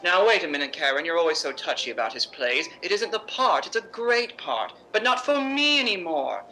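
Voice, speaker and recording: Man playing middle-aged theatre diva: forceful bright voice, imperious, wry, emphatic radio-play delivery, faint music behind. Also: high voice, sing-song, a little fast, heavily acted, low-quality recording. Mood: insistent. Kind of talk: recreated 1950s radio drama acting.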